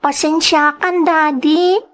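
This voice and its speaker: A woman's voice: high voice, fairly even intonation, takes it slowly, fairly bright tone. A woman with an Ilocano accent playing a sorrowful, dutiful young daughter, apologetic and plaintive, in a radio drama. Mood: sad, apologetic.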